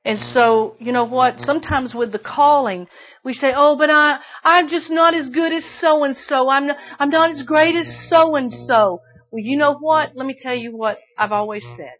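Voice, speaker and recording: A woman preaching a sermon with a shrill voice, emphatic and repeating phrases for stress. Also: moderate pace, lo-fi sound.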